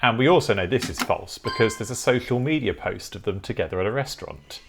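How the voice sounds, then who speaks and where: Lively British man explaining step by step. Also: on the low side, quick pace, slight background noise. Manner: methodical, clearly explanatory. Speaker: electronics tutorial presenter. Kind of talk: explanatory tutorial narration.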